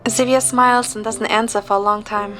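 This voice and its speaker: A woman with a higher-pitched voice narrates a scripted story in the first person. Her storytelling is measured and descriptive, set over music. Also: steady tone, sharp tone.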